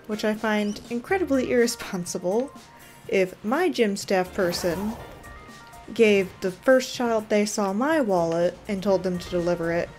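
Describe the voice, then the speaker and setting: A woman's voice: mid-range voice, darkish tone. A woman giving casual, wry commentary as she plays a game, thinking aloud. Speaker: gaming video creator.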